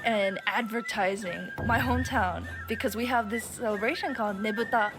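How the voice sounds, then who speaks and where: A young woman from Japan streaming and chatting casually, animated and swearing freely as she acts out what other people say. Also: on the high side, moderate pace. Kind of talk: casual chat. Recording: music playing behind.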